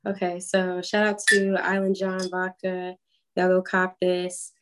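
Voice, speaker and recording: A woman singer answering interview questions in a relaxed, chatty way, laughing easily and using lots of fillers. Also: lowish voice, measured pace, heavy background noise. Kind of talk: casual interview chat. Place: Atlanta.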